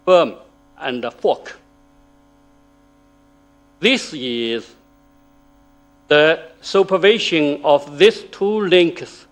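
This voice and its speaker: Slow, halting man with mains hum. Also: on the high side. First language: Chinese. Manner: slow, halting, with pauses and fillers. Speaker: conference speaker. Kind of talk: formal academic presentation. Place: lecture hall.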